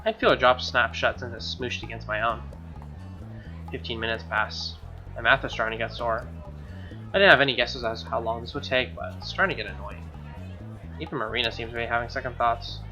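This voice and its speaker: A man's voice: mid-range voice, fairly even intonation, fairly quick, bright, forceful tone. A man reading a visual novel aloud as a let's play host, with wry, joking casual asides. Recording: dull lo-fi sound, music behind.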